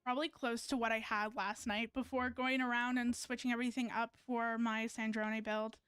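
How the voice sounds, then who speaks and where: A woman's voice: fairly high pitch, level tone, normal speed, a bit bright, clean tone, dry recording. A woman live streamer chatting casually to viewers while she plays a game, hesitant and thinking aloud as she goes.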